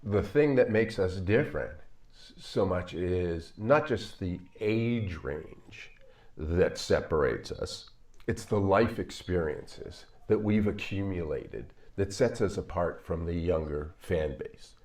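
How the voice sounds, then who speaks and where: An older man, a psychologist, talking to the camera from a home office in a measured, halting way with frequent fillers. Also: deep voice, expressive, darkish tone. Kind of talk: talking to camera in a video commentary.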